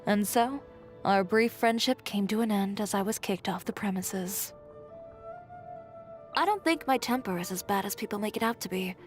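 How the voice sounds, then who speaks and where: A self-assured young woman designer from a video game, performed by an actress, with a medium-pitched voice. She is blunt and unbothered, delivering her lines coolly and matter-of-factly with a dry, exasperated edge. Music plays behind her. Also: moderate pace, lightly acted. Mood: frank, self-assured. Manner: cool, matter-of-fact, dryly exasperated. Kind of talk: scripted character voice lines. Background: background music.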